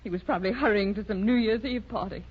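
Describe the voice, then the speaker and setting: A dull, lo-fi 1930s radio drama recording features a woman playing a worried young wife. Her husky voice is plaintive and halting, weighted with grief and bitterness. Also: mid-range voice, natural voice. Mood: bitter.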